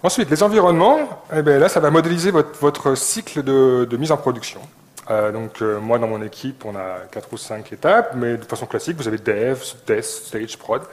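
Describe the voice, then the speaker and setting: A man's voice: mid-range voice, moderate pace. A man giving an informal technical presentation, explaining step by step with short listed examples and some hesitation. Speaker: conference co-speaker, software developer.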